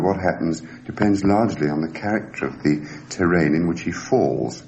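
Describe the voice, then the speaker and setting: A man giving a measured, precise technical explanation in a formal, academic way, as an expert on a radio documentary. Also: rumbling low, darkish tone, highs cut off. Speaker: scientific expert interviewee. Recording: noisy archive radio recording.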